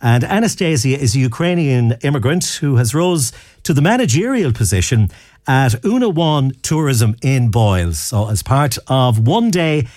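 A man's voice: medium pitch, sing-song, normal speed, darkish tone, no echo, clean studio sound. A man with an Irish accent presenting a chatty local radio show.

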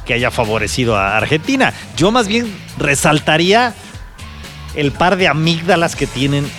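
A Mexican man offers casual sports commentary with very lively intonation. His voice is a little high, and light music plays behind him. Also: moderate pace. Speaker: sports pundit. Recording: music playing under the voice.

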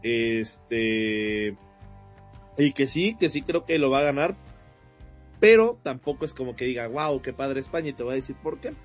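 A Mexican man with a Mexican Spanish accent, co-hosting a casual sports chat show, talking in an animated, mocking way and often laughing. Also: medium pitch, moderate pace, muffled recording, faint music behind. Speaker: show co-host.

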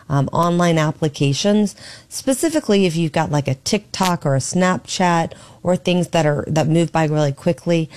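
A woman filling in as a radio talk host from her home studio, hoarse and losing her voice, talking in a chatty, opinionated way. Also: on the low side, a little mellow. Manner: chatty and opinionated, thinking aloud. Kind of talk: casual talk radio commentary.

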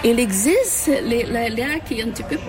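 A woman from the Philippines, answering an interview at a climate event in halting speech full of fillers and restarts. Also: a little high, lively intonation, over music. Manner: halting, many fillers and restarts, mixes in English terms. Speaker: conference participant.

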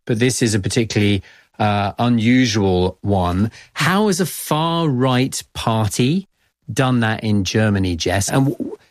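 A man hosting a news program, setting up a story and putting brisk questions to a correspondent. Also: on the low side, expressive, dry recording, studio quality. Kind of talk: news interview.

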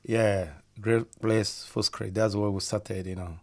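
A man from Nigeria, a musician guest on a radio interview, talking in an upbeat way and trailing off softly at the ends of his phrases. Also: fairly low pitch, little pitch movement, measured pace.

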